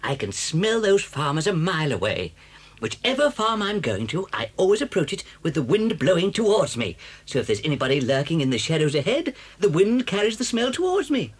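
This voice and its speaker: A man's voice: fairly high pitch, big pitch swings, fairly quick, husky. An actor playing a cocky, doting father fox in a jaunty, self-assured and theatrical storybook dramatisation. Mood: cocky, boastful.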